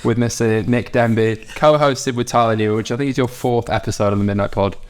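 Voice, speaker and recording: A man's voice: medium pitch, steady tone, brisk, clear, resonant tone. A man in his twenties hosting a podcast in a blunt, sweary, casual chat style. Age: 20s. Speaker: podcast host.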